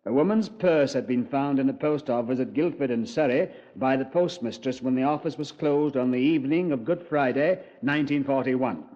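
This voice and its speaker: A man's voice: medium pitch, moderate pace, hardly any pauses, lightly acted. Man acting a composed British police commander, muffled. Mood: composed, recounting. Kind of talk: 1950s radio drama, conversational narration. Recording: muffled vintage radio recording.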